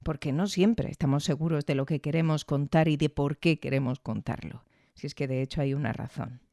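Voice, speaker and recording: A woman's voice: sits low, creaky, warm tone, no room sound, studio quality. A woman reading a reflective, scripted review as podcast host. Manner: reflective, measured.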